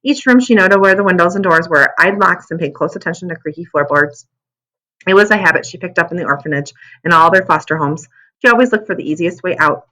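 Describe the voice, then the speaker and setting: A woman narrating a children's book aloud as an expressive storyteller. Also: lowish voice, quick pace, rough recording.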